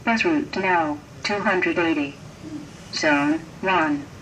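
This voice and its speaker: A robotic woman's voice reads scripted ticket machine instructions in a formal, measured way. It sits slightly low for a female voice, and it comes through a machine speaker some way from the mic, with light station noise around it. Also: fairly bright tone. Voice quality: synthetic, robotic. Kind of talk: scripted automated audio instructions. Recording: noisy distant machine speaker.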